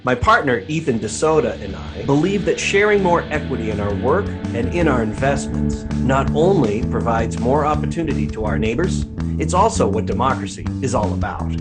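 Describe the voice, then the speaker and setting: Measured man over loud guitar music. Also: mid-range voice, big pitch swings. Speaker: advertiser, business partner. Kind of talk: scripted ad read. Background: loud plucked guitar music.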